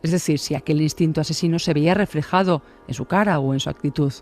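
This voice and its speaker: A man's voice: fairly high pitch, fast, faint music behind. A man reading scripted true-crime narration.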